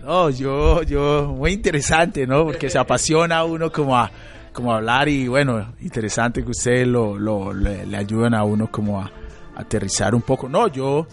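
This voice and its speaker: A Colombian man in his late thirties, a psychologist and radio guest, answering in a thoughtful, halting way with many fillers and restarts. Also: medium pitch, soft background music. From Colombia, Chocó. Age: late 30s. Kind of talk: reflective interview answers.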